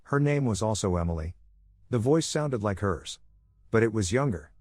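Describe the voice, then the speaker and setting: A man's voice: on the low side, expressive, normal speed, darkish tone, no room sound, studio quality. A man reading a scripted first-person horror story as its narrator.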